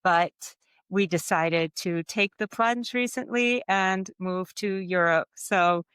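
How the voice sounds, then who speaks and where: Guest: middle-aged woman, low smooth voice, dry close sound, reflective and quick to laugh. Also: no room sound. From Germany. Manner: warm, reflective, laughs often. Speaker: guest artist and author. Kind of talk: conversational interview.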